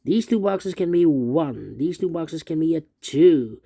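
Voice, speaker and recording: A man with a very muted, dark voice that creaks at the edges. He talks through a puzzle step by step, methodically, in repeating sing-song phrases. The sound is dry and close. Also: on the high side, animated, unhurried, no room sound. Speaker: puzzle instructor. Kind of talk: unscripted step-by-step sudoku tutorial, thinking aloud.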